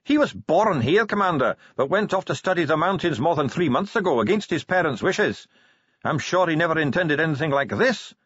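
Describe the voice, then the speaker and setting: A man putting on a soft-spoken young dwarf, polite and quick-witted, pitched well above an ordinary male voice with a lively, husky lilt. The recording is dry and a bit dull. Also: dry recording. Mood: earnest.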